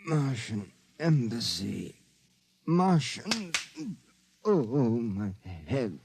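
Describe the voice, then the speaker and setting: An actor playing a beleaguered, stubborn investigator with halting, melodramatic old-time radio delivery. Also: male voice, medium pitch, sing-song, heavily acted. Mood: groggy, confused. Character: beleaguered male investigator, groggy, frightened yet stubborn. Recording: noisy old radio drama recording.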